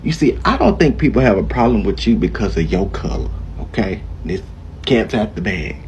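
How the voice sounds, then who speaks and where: Man, low voice, slow and swooping, sarcastic reaction, faint background noise. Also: big pitch swings, a little mellow, poor audio. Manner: sarcastic, mocking, drawn out. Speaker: video reactor.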